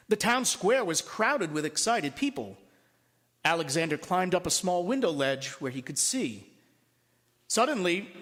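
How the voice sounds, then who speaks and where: A priest, a man, reading a story aloud with expressive, deliberate storybook narration. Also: high voice. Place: large church at a Mass. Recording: long reverberant hall.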